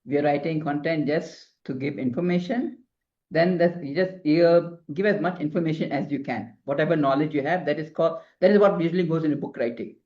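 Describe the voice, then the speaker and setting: A woman's voice: low-pitched, brisk. A middle-aged woman from Singapore, a marketing consultant giving advice as an interview guest, rambling with many restarts. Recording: dull lo-fi sound.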